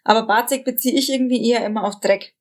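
High-voiced young woman, chatting. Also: steady tone, fast. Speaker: podcast co-host. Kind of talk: casual chat.

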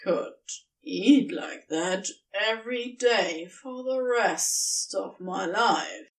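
A woman voicing an eager, affectionate serpent creature with a rough-edged colloquial drawl in a storybook reading. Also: mid-range voice, drawn-out words, far from the mic. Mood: happy, slurring.

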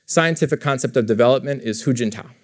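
A man's voice: mid-range voice, quick pace. A male professor giving an explanatory lecture to a live audience in a room, talking it through with fillers and self-corrections. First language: English.

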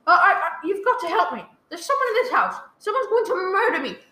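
A woman's voice: very high-pitched, big pitch swings, fast talker, bright, forceful tone, full character voice. A woman acting a panicked invalid wife alone in her house in a radio play, stammering and pleading frantically. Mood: desperate, frightened. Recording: roomy, echoey, faintly noisy live recording.

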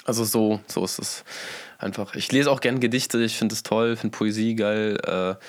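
Slangy, rambling Berlin man, lowish voice. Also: steady tone, normal speed. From Berlin, Germany. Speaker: musician and producer. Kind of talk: casual interview chat.

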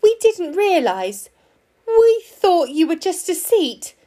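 A woman voicing an excitable, mischievous male fox in a children's story, with an eager manner. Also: high voice, unhurried, pitched above the performer's own voice. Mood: apologetic, awed.

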